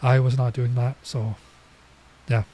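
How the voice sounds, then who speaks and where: A man's voice: mid-range voice, little pitch movement, unhurried, warm tone, slight background noise. Stuffy-nosed man chatting over a game. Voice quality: stuffy, nasal. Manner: rambling, chatty asides. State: coming down with a cold. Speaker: streamer. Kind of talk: casual let's play commentary.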